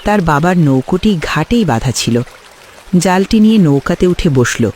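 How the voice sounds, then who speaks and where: A man's voice: fairly high pitch, lively intonation, normal speed, a little mellow, a little hiss or hum. A man reading scripted story narration for a cartoon.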